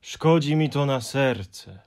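A man's voice: mid-range voice, expressive, a little mellow, pitched above the performer's own voice. Hemulen: a man doing a gloomy fussy creature. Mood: glum. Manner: mournful, sighing, drawn-out complaints. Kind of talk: audiobook character voice.

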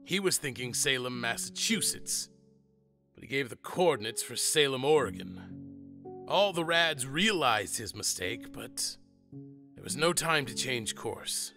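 An actor playing an earnest middle-aged monster father, telling family history with measured, dramatic pauses in an audio drama. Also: mid-range voice, lively intonation, sharp tone, soft background music.

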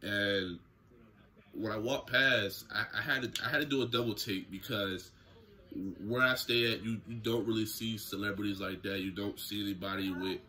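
A young man telling a story to camera in a relaxed, slangy way from a small room at home. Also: on the low side, normal speed. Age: young adult. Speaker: YouTuber. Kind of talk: casual story time vlog. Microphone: camera close in front, seated.

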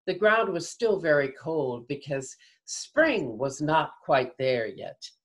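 An older woman tells a children's story in a slightly low voice. Her pitch swings widely and her delivery is very unhurried. She is on a video call from home. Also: big pitch swings. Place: home room, indoors. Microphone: video call computer mic.

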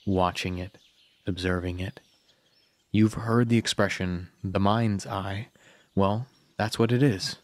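A man narrating a scripted guided meditation in a calm, soothing manner with long pauses. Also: on the low side, dry recording.

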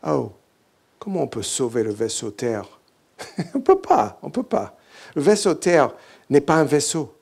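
A man's voice: mid-range voice, big pitch swings, a little slow, creaky, long pauses, a little mellow, slightly rough. A middle-aged man from English-speaking Canada, speaking French with an English Canadian accent in a hesitant conference talk full of fillers, on a hall stage with a handheld mic. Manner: hesitant, many "euh" fillers and restarts. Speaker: keynote speaker.

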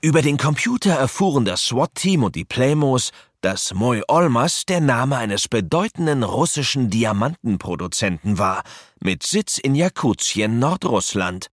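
Husky, animated male storyteller. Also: mid-range voice, unhurried, no echo, studio quality. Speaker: narrator. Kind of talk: scripted narration of children's radio play.

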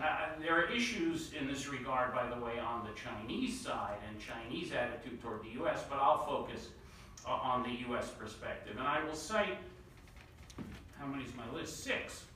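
Quick-talking man, echoey distant room audio. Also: mid-range voice, pushed and bright, slight background noise. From New York City area. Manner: quick-talking, with many fillers and repeated words. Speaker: panelist. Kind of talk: policy panel remarks. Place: large conference room. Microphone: table mic, recorded from the far side of the room.

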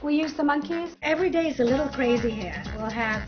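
A woman with a high voice coaches a group, giving upbeat instructions. The recording is dull and lo-fi, taken from TV clips, with music underneath. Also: fast talker. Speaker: relationship therapist and seminar leader. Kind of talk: coaching a workshop.

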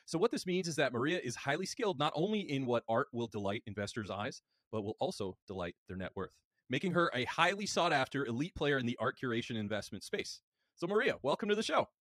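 A man in his forties hosting an interview podcast from a home office, giving upbeat, polished introductions into a studio mic on a boom arm. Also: sing-song, quick pace, a bit bright, no room sound. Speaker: podcast host. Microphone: studio mic on boom arm, headphones.

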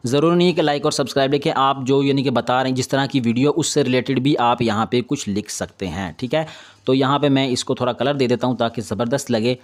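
Male tutorial host, medium pitch, informal and instructional, checking in often as he explains each step. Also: quick pace. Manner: repetitive confirming tags, instructional. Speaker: video editing tutorial host.

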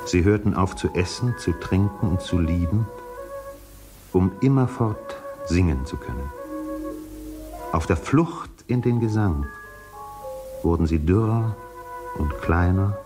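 A man giving a measured, solemn dramatic reading of literary prose for a radio feature. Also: deep voice. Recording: flute music under the voice.

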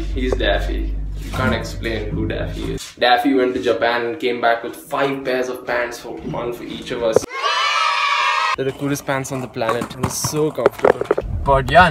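A teenage male student talks casually to his vlog audience, poking fun at himself. His voice sits at a medium pitch, in an echoey space with loud music behind it. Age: late teens. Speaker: student vlogger.

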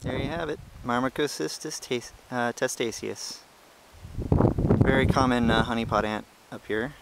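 A young man casually narrating a hiking vlog outdoors on a trail, explaining things in a relaxed, chatty way with many fillers, recorded on the handheld camera he films with. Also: medium pitch, measured pace, background noise. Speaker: ant-keeping channel host. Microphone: handheld camera he is filming with.

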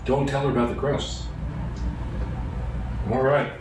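A man's voice: medium pitch, little pitch movement, unhurried, background noise. A middle-aged man hosting a home cooking show, talking casually and self-deprecating as he works. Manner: self-deprecating, chatty, mock-nervous asides. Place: home kitchen, indoors. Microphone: far camera on the counter. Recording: roomy kitchen echo, distant mic, music behind.

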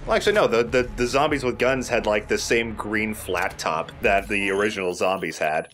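A man's voice: on the low side, moderate pace, fairly bright tone, slight background noise. A man giving casual commentary as he plays and hosts a video game let's play. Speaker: gaming let's play host, player.

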